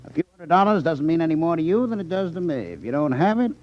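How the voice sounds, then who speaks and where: An actor playing a sly, menacing gambling club boss in 1950s radio drama style, smoothly false-friendly and sarcastic, with slow, deliberate emphasis. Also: male voice, on the high side, very animated. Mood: falsely friendly. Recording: dull 1950s radio broadcast recording.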